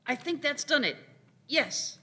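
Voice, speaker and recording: A woman's voice: high-pitched, expressive, a little slow, bright, forceful tone, full character voice. A woman playing an urgent, capable young adventurer in a radio play. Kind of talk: live radio-play acting.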